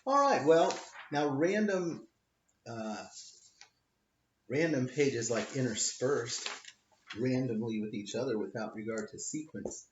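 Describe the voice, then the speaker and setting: A man's voice: medium pitch, animated, slow pace, pauses often, slight background noise. A man, a lawyer, reading his own book aloud in a wry, offhand way, stressing the punchlines. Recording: roomy, dull lo-fi home recording.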